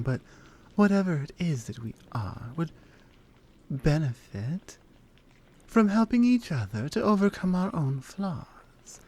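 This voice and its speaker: A woman voicing a flirty, confident lioness in an intimate, teasing ASMR roleplay, softly coaxing and often slipping toward a whisper. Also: low-pitched, exaggerated voice. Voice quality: soft, breathy. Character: flirty, confident, forward adult lioness armorsmith.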